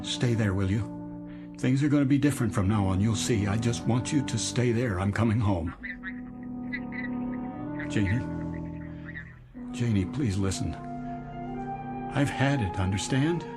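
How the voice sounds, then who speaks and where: A low-pitched, desperate businessman played by a male actor in a radio drama. He is weary and hesitant, with a pleading strain in how he talks. Dramatic music plays clearly behind him. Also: a little slow, pauses often, lightly acted. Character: weary, desperate businessman husband heading home, near breakdown. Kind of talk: dramatic radio-play acting.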